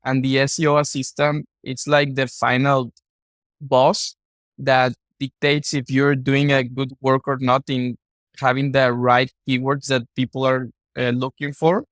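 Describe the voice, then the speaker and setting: A man from Mexico with a Mexican Spanish accent, a native Spanish speaker, talking casually as a podcast guest in halting, repetitive phrases. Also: mid-range voice, little pitch movement, fairly bright tone, no room sound. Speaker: podcast guest, content creator. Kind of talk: casual interview talk.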